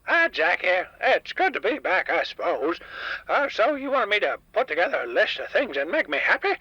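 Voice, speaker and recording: Man doing a raspy, wildly animated old grump. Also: high-pitched, moderate pace, dry recording, dull audio, exaggerated voice. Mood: hesitant, grudging. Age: older. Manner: crotchety, rambling, folksy. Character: grumpy, stubborn old male diner owner. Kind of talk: comedic radio drama guest. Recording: dry, band-limited radio call-in line.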